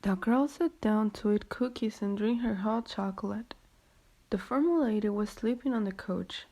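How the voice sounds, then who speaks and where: A woman tells a scripted radio drama story as its narrator. Her voice is mellow and of average pitch. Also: normal speed.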